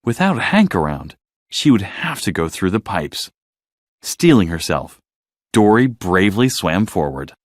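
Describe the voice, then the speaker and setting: Animated male bedtime storyteller. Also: low voice, unhurried, no echo, clean studio sound. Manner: expressive, dramatic storytelling. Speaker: storybook narrator. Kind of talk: scripted bedtime story narration with acted dialogue.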